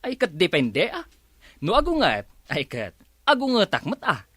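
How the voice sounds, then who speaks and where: A man's voice: sits high, huge pitch swings, a little slow, sharp tone, breathy edge, no room sound, heavily acted. A Filipino man acting in a radio drama as a stubborn, hot-headed farmer husband who grumbles and argues. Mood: stubborn, grumbling. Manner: grumbling, argumentative.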